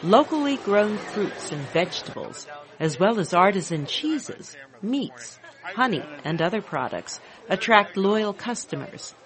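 A woman's voice: mid-range voice, sing-song, unhurried, crowd noise. A woman with an American accent reading scripted news narration as a reporter.

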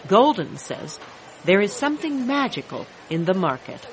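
A woman's voice: medium pitch, very animated, normal speed. American woman reporter, faint noise behind. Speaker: news reporter. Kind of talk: scripted news narration.

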